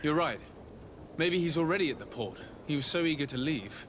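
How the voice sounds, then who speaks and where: A man's voice: on the high side, expressive, a bit bright. A courteous young nobleman, noisy background. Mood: calm, courteous. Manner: polite, measured, formal. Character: earnest, courteous young nobleman, dutiful son. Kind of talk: video game drama acting.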